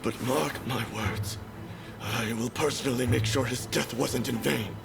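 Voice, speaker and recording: An actor playing a solemn, determined samurai in a video game. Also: male voice, medium pitch, unhurried, gravelly, noisy background. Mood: solemn resolve. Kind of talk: video game cutscene acting. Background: loud music.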